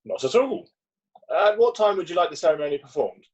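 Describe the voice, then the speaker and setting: A man's voice: high-pitched, lively intonation, fairly quick, breathy edge. A man playing a kindly, pompous Victorian clergyman with solemn, formal delivery in an amateur play reading. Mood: solemn, obliging. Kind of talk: amateur play read-through. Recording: dull, lo-fi video call audio.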